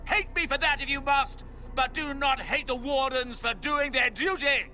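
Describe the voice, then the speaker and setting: An actor playing a haughty, zealous scheming magister in a video game, with a theatrical, emphatic delivery. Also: male voice, very high-pitched, steady tone, moderate pace, sharp tone, faint music behind, full character voice. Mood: mocking, indignant. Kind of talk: dramatic video game acting.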